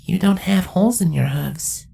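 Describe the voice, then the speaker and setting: A man voicing a small, curious pony colt in a soft, childlike way. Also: high voice, lively intonation, normal speed, vocal fry, breathy edge, pitched above the performer's own voice, heavily acted.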